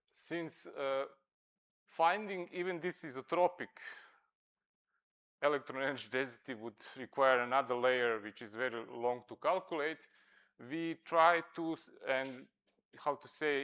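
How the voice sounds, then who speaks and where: A man whose first language is Serbo-Croatian, giving a scientific conference talk, halting with many fillers and self-corrections. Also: mid-range voice, sharp tone, clear, resonant tone. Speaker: conference speaker. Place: lecture hall at a conference.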